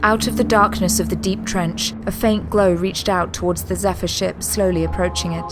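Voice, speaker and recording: Give a woman narrator for a sci-fi audio drama. Her voice is on the low side, reading scripted dramatic prose. Music plays behind her. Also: steady tone, normal speed.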